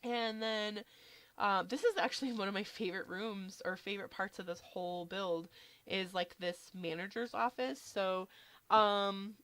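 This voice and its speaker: A woman with a slight cold, a little nasal, casually narrating a game build in a relaxed, chatty way with lots of fillers. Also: mid-range voice, a little slow, no room sound. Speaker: game building video creator.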